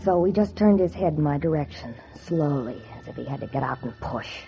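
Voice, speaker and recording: A woman's voice: low-pitched, animated, a little fast, gravelly. An actress playing a wisecracking young American dance-hall woman posing as an heiress, in a slangy, wry 1940s radio style. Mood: tense, hushed. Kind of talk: 1940s radio acting, noir first-person narration. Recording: muffled 1940s radio recording with loud music.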